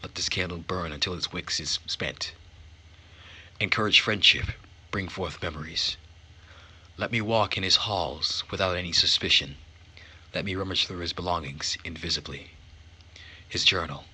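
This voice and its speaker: A man voicing an anxious, secretive young woman from a visual novel in a hushed, earnest, dramatic way. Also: sits low, moderate pace, long pauses, breathy edge, full character voice. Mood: determined, secretive.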